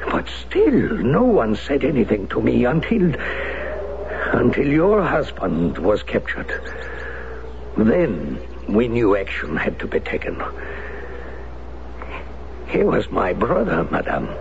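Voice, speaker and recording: Casting: an ex-resistance fighter, gravelly and low, slow and heavy with guilt, old hissy radio sound. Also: male voice, big pitch swings, heavily acted. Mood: remorseful. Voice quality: gravelly, solemn. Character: grave middle-aged French resistance veteran, guilt-ridden. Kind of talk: 1970s radio drama acting. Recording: dull old radio broadcast with hiss.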